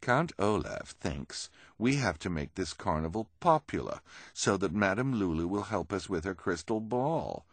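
A man's voice: low-pitched, sing-song, unhurried, no room sound, heavily acted. Man voicing a haughty, scheming villainess, swooping and emphatic. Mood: scornful. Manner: persuasive, emphatic, condescending. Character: scheming, smooth-talking villainous woman, persuasive and haughty.

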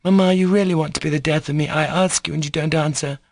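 Guy doing a bratty young girl in a storybook, high pitched, bossy and impatient. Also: normal speed, dry recording, studio quality. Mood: petulant, anxious. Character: petulant, demanding young girl behind a door.

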